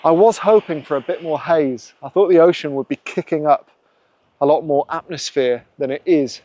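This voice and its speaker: A man hosting his own photography channel, thinking aloud in a relaxed, musing way as he works a scene outdoors on a windy coast. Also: a little high, normal speed. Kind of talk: casual vlog narration on location.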